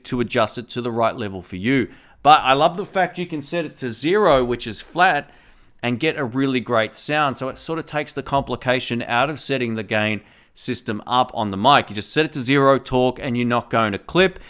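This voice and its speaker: A man talks through a product review in a relaxed, enthusiastic way. He speaks into a tabletop condenser microphone in a room at home, explaining each step as he goes. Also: medium pitch, clear, resonant tone. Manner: speaks at varying loudness for gain tests. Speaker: tech reviewer. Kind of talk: casual product review to camera. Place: home room with a fridge nearby.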